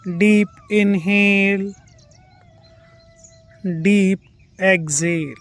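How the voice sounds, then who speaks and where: An Indian yoga nidra instructor guiding relaxation in a calm, soothing way with drawn-out instructions and pauses. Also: mid-range voice. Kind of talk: guided relaxation meditation.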